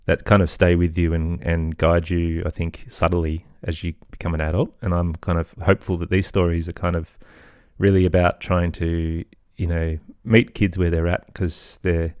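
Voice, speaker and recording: A middle-aged man talking casually and reflectively as a podcast guest, thoughtful and tentative, often softening what he says. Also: low-pitched, level tone, normal speed, few pauses, clean tone, no echo, studio quality. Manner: hesitant, thoughtful, hedging with softeners. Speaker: podcast guest, children's audio storyteller. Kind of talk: casual reflective interview talk.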